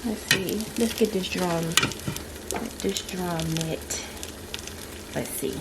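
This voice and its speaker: Slow-talking woman with a stuffy voice. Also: on the low side, very noisy. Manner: chatty and unhurried, many "like" and "um" fillers. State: stuffy, congested voice after a sore throat. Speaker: cooking vlog host. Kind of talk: casual cooking vlog. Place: home kitchen.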